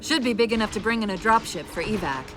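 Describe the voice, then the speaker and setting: A woman playing a brisk, witty female AI companion in a video game, giving confident tactical briefings. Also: mid-range voice, animated, music in the background, natural voice. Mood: focused, brisk. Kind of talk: video game cutscene acting.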